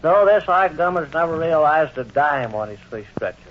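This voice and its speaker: Man playing folksy old uncle, high-pitched. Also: lively intonation, moderate pace, close to an everyday voice. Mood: earnest, rambling. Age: older. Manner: garrulous storytelling, folksy. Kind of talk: vintage radio comedy acting. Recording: dull old radio recording.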